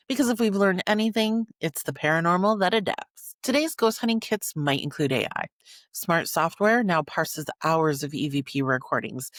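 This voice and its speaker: A woman podcast host delivering scripted narration in an earnest way, with short dramatic phrases and emphatic pauses. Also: deep voice, no echo, studio quality.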